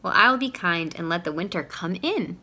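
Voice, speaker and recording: A woman's voice: fairly low pitch, expressive, normal speed, pushed and bright, heavily acted. A woman voicing a silly, earnest male owl from a children's storybook, with a fussy, exclamatory way of talking. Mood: kindly welcoming.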